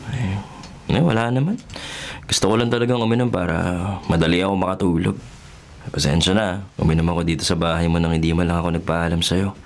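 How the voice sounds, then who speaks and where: Deep-voiced, guarded young Filipino journalist. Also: male voice, fast. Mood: troubled, evasive. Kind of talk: Filipino radio drama acting.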